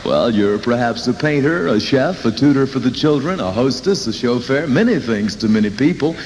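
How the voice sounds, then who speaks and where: A man's voice: medium pitch, expressive, normal speed, a little mellow. A man with an American accent hosting a 1960s Top 40 radio show, chatting folksily to listeners and reading spots. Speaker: radio disc jockey. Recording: 1960s radio aircheck, hissy, long reverb.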